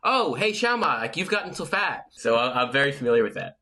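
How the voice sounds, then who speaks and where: Excitable man with a lively voice. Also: mid-range voice, quick pace, a crowd in the background. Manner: excitable, laughs and yelps often. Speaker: vlogger and contestant. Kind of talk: casual confessional commentary.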